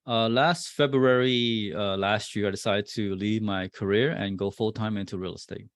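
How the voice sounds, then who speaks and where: A man in his forties with a low, deep voice answers an interviewer's questions about his career. He talks in a halting way, full of fillers and restarts. The sound is dry and close. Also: fairly even intonation, no room sound. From born in Vietnam, raised in New Jersey. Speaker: guest, real estate investor.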